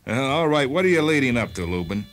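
An actor playing an irate, aggrieved shopkeeper patient in a radio drama, whiny and complaining. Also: male voice, medium pitch, sing-song, quick pace, fairly bright tone, soft background music. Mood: indignant. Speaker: actor voicing a character.

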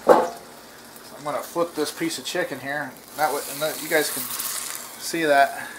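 A man hosting a casual home cooking demo in his kitchen, talking in a relaxed, rambling way with lots of fillers and restarts. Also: on the high side, unhurried, background noise. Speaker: cooking channel host.

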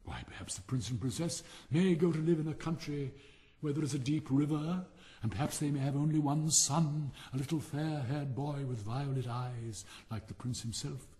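A man's voice: a little high, moderate pace, dark tone, close to an everyday voice. A man voicing a pompous, self-pitying firework rocket in a storybook, haughty and melodramatic, with a Received Pronunciation accent. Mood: mournful. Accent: English Received Pronunciation. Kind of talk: theatrical storybook character reading.

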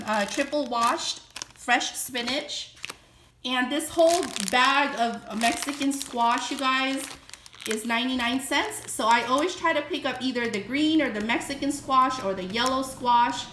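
A woman's voice: sits high, unhurried, noisy background. Chatty woman in an echoey kitchen. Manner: chatty, upbeat, lists items with frequent fillers. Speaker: vlogger. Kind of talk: casual grocery haul chat. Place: home kitchen, indoors.

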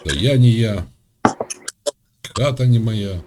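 A man from Russia hosting a chat, needling and mocking the person he talks to in a deadpan way. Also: on the low side, slow, very noisy. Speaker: host. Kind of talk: mocking video-call chat.

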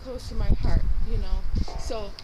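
Middle-aged woman, conciliatory and sincere, repeats herself; heard outdoors through loud noise on someone else's body camera. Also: mid-range voice, fairly even intonation, unhurried. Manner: earnest, conciliatory, repeats phrases. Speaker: churchgoer. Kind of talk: casual street conversation. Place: outdoors on church steps in a city street. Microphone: body-worn camera worn by another person, a few steps away.

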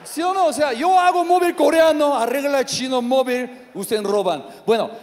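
Korean-accented male stand-up, high voice, non-native halting delivery with shouted bursts, handheld mic in a reverberant theater. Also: slight background noise. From South Korea. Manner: halting non-native Spanish, filler-heavy, sudden shouted outbursts. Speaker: stand-up comedian. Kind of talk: stand-up comedy set. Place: large theater stage. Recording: live hall reverb with audience.

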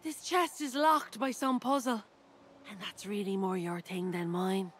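A woman's voice: medium pitch, fairly even intonation, measured pace, fairly bright tone, slight background noise, lightly acted. A woman playing a breezy, teasing treasure hunter in a video game, with a wry, playful delivery. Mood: breezy, scheming. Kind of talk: scripted game voice acting.

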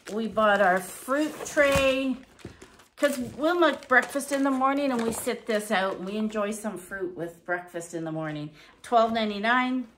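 A middle-aged woman from Canada chatting casually through her grocery haul in her home kitchen, reading prices aloud and thinking out loud. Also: on the high side, a little slow, a little hiss or hum. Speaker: vlogger. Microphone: fixed camera at table.

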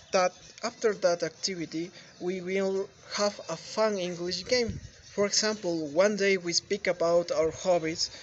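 Slow, careful man with Spanish accent. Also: sits high, slight background noise, poor audio. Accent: Ecuadorian Spanish. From Ecuador. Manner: careful, halting learner English with repeats and fillers. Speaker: English learner giving feedback. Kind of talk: prepared testimonial to camera. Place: outdoors under open sky.

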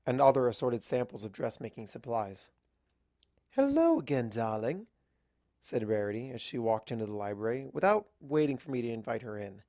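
A man narrates a piece of fan fiction in the first person. His voice sits at a medium pitch and is recorded dry, with very little room sound. The delivery is that of a scripted story reading. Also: darkish tone, no room sound.

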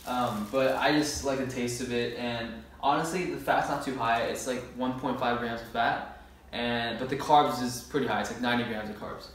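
A man's voice: medium pitch, fairly even intonation, normal speed, fairly bright tone, a little hiss or hum. Young man, very echoey room, distant mic. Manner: laid-back, informal talk with slang. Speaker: fitness vlogger. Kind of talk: casual grocery haul vlog. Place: bare-walled room indoors. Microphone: camera a few metres away.

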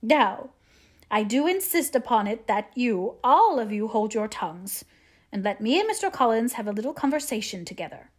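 A woman's voice: a little high, big pitch swings, normal speed. A woman voicing a querulous, self-pitying middle-aged mother in an audiobook, with a melodramatic, plaintive, complaining manner. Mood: bossy. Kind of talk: audiobook character voice.

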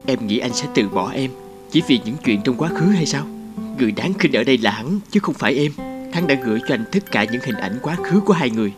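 Casting: a man acting a devoted young cartoon sweetheart, earnest and protective, mid-range pitch, loud music underneath. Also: lively intonation, fast talker, natural voice. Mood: earnest, pleading. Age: young adult. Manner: earnest, emphatic, tender. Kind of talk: animated drama voice acting.

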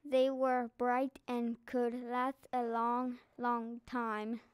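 A high-voiced female reader doing a scripted read-aloud of a children's book. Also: little pitch movement, very slow, smooth, no echo, studio quality.

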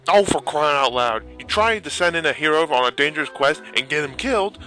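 Man doing a blustering cowardly quest-giver, bright and high. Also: animated, a little fast, faint music behind. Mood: exasperated. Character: exasperated, scheming cowardly male quest-giver. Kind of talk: comic dub of a fantasy video game.

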